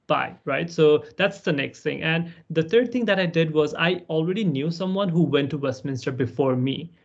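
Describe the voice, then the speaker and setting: A man's voice: fairly high pitch, moderate pace, gravelly. A man in his twenties from Nepal, speaking as an alumni panelist on a video call and giving casual advice with many "like" and "right?" tags. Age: 20s. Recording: muffled video call audio.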